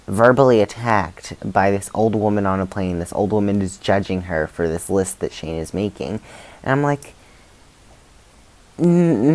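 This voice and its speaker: A man talking in a chatty, informal and halting way, giving casual opinions as a book vlogger. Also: fairly low pitch, a little mellow.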